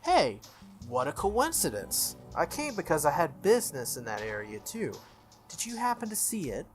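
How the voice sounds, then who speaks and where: A man's voice: on the high side, huge pitch swings, moderate pace, faint music behind, voice pushed up. A man voicing a smug, falsely friendly teenage schoolboy schemer in a visual novel, with a breezy, needling manner.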